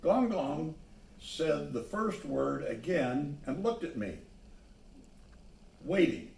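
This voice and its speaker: Male picture-book reader, unhurried delivery, medium pitch, addressing young listeners, recorded at a distance in a roomy space. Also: big pitch swings, creaky, a little mellow, a little hiss or hum. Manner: pauses to point out the pictures. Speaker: storybook reader. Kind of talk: read-aloud children's story narration with asides on the pictures. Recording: echoey room, distant microphone.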